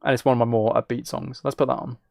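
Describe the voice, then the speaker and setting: A man's voice: medium pitch, level tone, fast, creaky, over music. A man chatting casually as he hosts a livestream, rambling and thinking aloud. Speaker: streamer.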